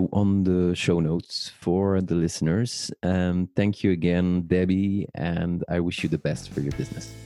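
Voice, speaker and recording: A man's voice: low voice, warm tone, dry recording, studio quality. A man hosting a podcast, polite and courteous, with short affirmations and hesitant uhs. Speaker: podcast host. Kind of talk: interview and show sign-off.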